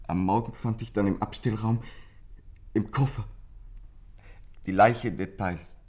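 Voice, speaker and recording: Husky, troubled teenage boy. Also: on the low side, fairly even intonation, darkish tone. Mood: distraught. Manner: intense, halting, emotional confession. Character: troubled teenage boy, bitter, frightened, desperate. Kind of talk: German radio crime drama acting.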